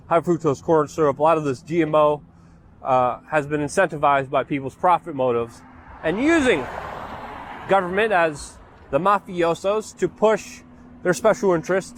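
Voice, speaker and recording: A man's voice: on the high side, a little hiss or hum. A man born and raised in Poland, delivering an indignant, sarcastic ranting monologue as a political commentator.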